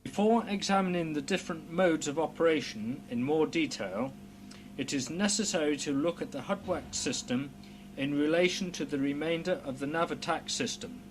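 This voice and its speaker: A man narrates an instructional film in a formal, precise way, with a slightly high-pitched voice. He explains things in measured, scripted phrases. A light noise and hum sit behind the voice throughout. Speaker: narrator of a technical training film. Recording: clear background noise with low hum.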